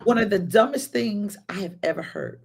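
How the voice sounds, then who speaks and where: A woman's voice: medium pitch, expressive, moderate pace, gravelly, slightly rough. A woman pastor hosting a casual conversation, affirming her guest and giving blunt opinions. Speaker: pastor and host. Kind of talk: casual chat.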